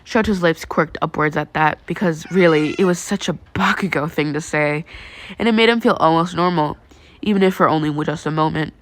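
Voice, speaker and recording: A woman's voice: deep voice, brisk, a little hiss or hum. A woman narrating fan fiction in the style of an amateur audiobook.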